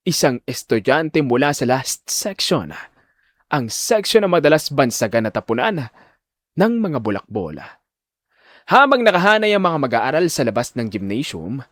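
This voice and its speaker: A man's voice: mid-range voice, dry recording, studio quality. A man delivering solemn, emphatic scripted narration for a dramatic audio story.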